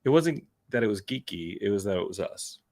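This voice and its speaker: Man, show host, gravelly voice, dry close sound, rambling and jokey, reads out comments. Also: lowish voice, expressive, fairly quick, a little mellow, no room sound. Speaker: podcast host. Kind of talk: casual chat reading viewer comments.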